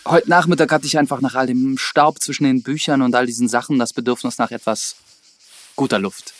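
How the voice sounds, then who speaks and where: An actor playing an earnest, reserved priest who probes and questions, in a radio drama with first-person narration. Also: male voice, mid-range voice, fairly even intonation, fairly quick, close to an everyday voice. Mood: awkward, evasive.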